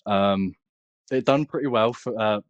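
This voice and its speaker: A man's voice: on the low side, unhurried, no echo, dull audio. A British man chatting casually as a podcast guest, telling his story in a hesitant, meandering way. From United Kingdom. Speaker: podcast guest, content creator.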